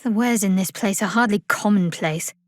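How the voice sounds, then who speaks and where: An actress voicing a guarded, sardonic young woman cleric in a fantasy video game, with dry, measured delivery. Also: mid-range voice, no echo, natural voice. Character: guarded, sardonic young female cleric adventurer of dark goddess.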